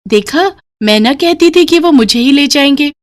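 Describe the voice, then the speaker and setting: Woman voicing a proud, high-pitched female goat. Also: moderate pace. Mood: boastful. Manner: proud, expressive cartoon delivery.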